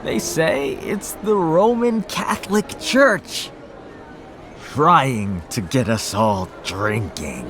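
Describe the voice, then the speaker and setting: A man's voice: medium pitch, expressive, very slow, noisy background. A man voicing a boozy, irreverent gossip in a video game, with a sardonic, theatrical drawl. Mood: boozy, cheeky. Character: boozy, irreverent man who gossips about local history. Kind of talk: video game character dialogue.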